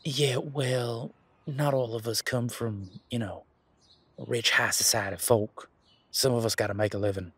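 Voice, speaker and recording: A man playing a laid-back, responsible werewolf security guard in a casual roleplay audio, talking in a colloquial, halting, matter-of-fact way with a husky voice. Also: medium pitch, steady tone, a bit bright, no echo. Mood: sheepish, defensive.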